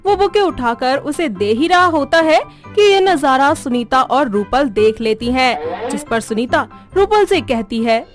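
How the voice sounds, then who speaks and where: Female storyteller, high register, scripted cartoon narration over faint music. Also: very animated, unhurried.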